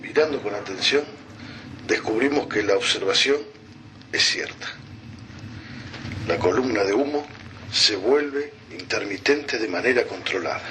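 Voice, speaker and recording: A man's voice: on the low side, animated, a little slow, long pauses, sharp tone, slight background noise, rough recording. A man giving dramatic scripted narration in a radio drama.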